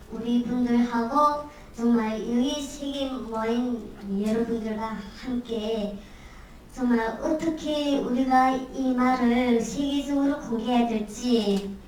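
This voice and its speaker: A woman's voice: fairly high pitch, darkish tone, slight background noise. A woman from North Korea, the guest speaker at a Q&A, speaking firmly and emphatically. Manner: emphatic, firm. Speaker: guest speaker, former nurse. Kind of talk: speaking Korean at a Q&A through an interpreter. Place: university lecture hall. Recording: echoey hall from far off.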